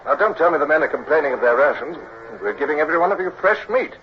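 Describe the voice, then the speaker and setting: An actor playing an earnest young British naval officer who narrates and gives orders in an old radio drama. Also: male voice, on the high side, lively intonation, rapid-fire, natural voice. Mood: brisk, commanding. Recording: noisy, dull old radio recording.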